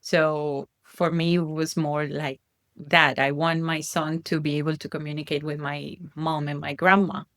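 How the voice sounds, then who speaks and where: A woman's voice: low-pitched, little pitch movement, normal speed, fairly bright tone, dry recording, studio quality. A woman from Colombia with a Colombian Spanish accent, a native Spanish speaker talking reflectively as a guest parent, with many restarts. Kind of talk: casual conversation.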